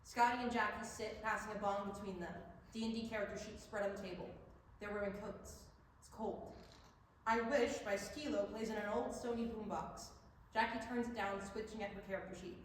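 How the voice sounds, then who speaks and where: A woman's voice: medium pitch, fairly even intonation, a little fast. Very echoey woman reading stage directions. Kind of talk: scripted narration. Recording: very echoey hall, distant mic, faint noise.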